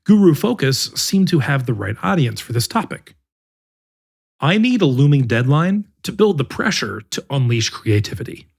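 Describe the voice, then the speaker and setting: A man narrating an article in the first person as the regular reader of a podcast, with a measured, expressive delivery. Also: mid-range voice, vocal fry. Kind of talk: scripted narration.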